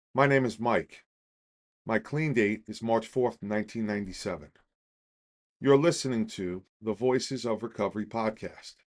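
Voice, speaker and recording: A middle-aged man with a lowish voice reads a daily recovery meditation aloud. He is calm and deliberate, and he slows down to let key phrases land. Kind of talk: measured scripted reading.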